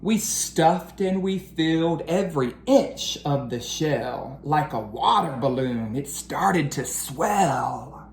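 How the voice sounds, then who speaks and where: A woman with a low voice reads a picture book aloud to children at an unhurried pace. Her delivery is expressive and lilting, leaning into the rhymes. The room adds a noticeable echo. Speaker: educator reading aloud to children. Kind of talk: storybook read-aloud for children. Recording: roomy echo with faint background noise.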